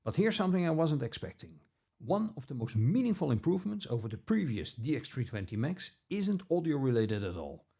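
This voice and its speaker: A man narrating a scripted product review as an audio gear reviewer, with measured, deliberate phrasing. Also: mid-range voice, sing-song, mellow, husky.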